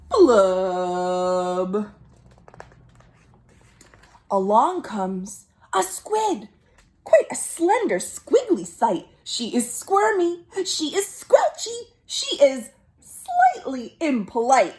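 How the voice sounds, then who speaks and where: A woman reading aloud expressively to young children as a librarian, with playful asides and dramatic pauses. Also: high-pitched, wildly swooping pitch, darkish tone. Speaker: children's librarian, storytime reader.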